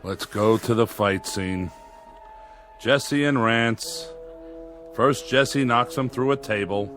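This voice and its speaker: A man's voice: medium pitch, slow pace, fairly bright tone, soft background music. A man playing a businesslike television director who gives commanding orders on a set in an audio drama. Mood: instructing. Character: brisk male TV film director giving orders. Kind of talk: radio drama acting.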